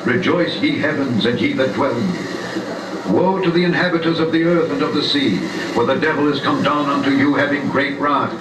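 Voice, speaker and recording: A man narrating a puppet show as a dramatic, solemn scripture reading, with theatrical, drawn-out emphasis, recorded live in a theater. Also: on the high side, noisy background. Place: small indoor theater, live performance. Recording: distant echoey live theater recording, loud music. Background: loud guitar and instrumental music.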